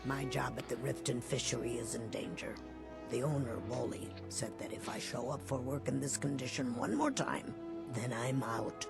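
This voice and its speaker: An actor playing a desperate, ashamed addict in a fantasy video game, pleading and anxious, with a gravelly voice. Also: male voice, mid-range voice, fairly even intonation, moderate pace, lightly acted. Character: desperate, ashamed addict, a down-on-his-luck fishery worker. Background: game music.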